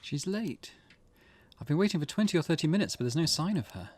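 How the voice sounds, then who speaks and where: A man hosts a gaming playthrough. He reads on-screen text and adds his own comments in a low-key style. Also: expressive, brisk, a little mellow. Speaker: gaming channel host. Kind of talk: low-key gameplay commentary and reading aloud.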